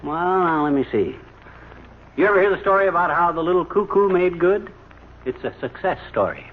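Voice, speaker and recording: A man's voice: high voice, animated, fairly quick, phone-quality sound. A man playing a folksy, patient storyteller who spins tales for a child in homely phrases, in old-time radio comedy style. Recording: thin, hissy old radio recording.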